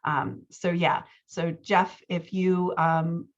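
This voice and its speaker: A woman's voice: fairly low pitch, little pitch movement, slow pace, highs cut off. A woman working as a writing coach and podcast host, giving casual, encouraging feedback in conversation. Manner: encouraging, affirming.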